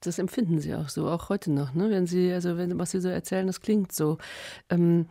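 A woman interviewer asking probing questions that gently lead her guest. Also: lowish voice, steady tone, a little fast, no room sound, clean studio sound. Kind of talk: radio interview.

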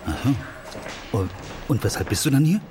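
An actor playing a flustered, fussily polite police officer in a German children's radio play, speaking hesitantly and repeating himself. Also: male voice, mid-range voice, sing-song, normal speed, warm tone, soft background music, close to an everyday voice. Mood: puzzled, attentive. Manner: hesitant, repetitive, fussily courteous.

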